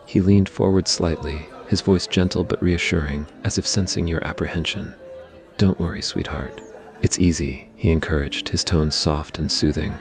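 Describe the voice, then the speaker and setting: A man's voice: deep voice, steady tone, normal speed, hardly any pauses, soft background music. A man narrating a scripted romantic ASMR story.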